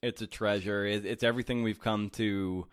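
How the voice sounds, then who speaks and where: Tired, very low-voiced male podcast host. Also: steady tone, normal speed, no room sound, clean studio sound. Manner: loose, jokey, restarts often. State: tired after a night shift. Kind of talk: casual podcast interview and outro.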